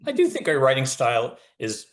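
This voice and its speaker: Guy author on a podcast, average pitch, wry, explains stuff point by point, chatty. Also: huge pitch swings, a little fast. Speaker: novelist, podcast guest. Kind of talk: casual interview talk.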